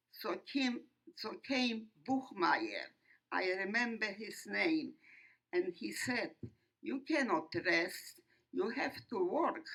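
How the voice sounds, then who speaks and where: Elderly Polish-accented woman, slow and solemn. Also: mid-range voice, fairly bright tone, rough recording. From Poland. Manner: solemn, deliberate, halting delivery with pauses. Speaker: Holocaust survivor speaker. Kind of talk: personal testimony to young audience.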